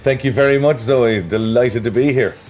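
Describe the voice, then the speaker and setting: A man's voice: medium pitch, normal speed, darkish tone, faint music behind. A middle-aged Irish man talking as a podcast guest, thoughtful and a little rambling. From Ireland. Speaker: guest, mental health strategist. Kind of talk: conversational interview.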